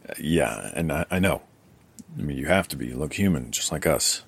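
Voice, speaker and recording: A man's voice: very deep voice, lively intonation, a little fast, gravelly, husky, no echo, exaggerated voice. An actor playing a guarded, weary male survivor and chronicler in an audio drama, speaking in a hesitant, conversational way. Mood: skeptical.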